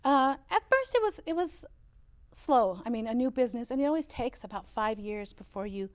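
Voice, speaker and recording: A woman's voice: on the high side, expressive, normal speed. German-born woman telling family stories. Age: 50s. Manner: storytelling, warm recollection with frequent "uh" fillers. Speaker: interview guest, business owner. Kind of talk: relaxed interview conversation.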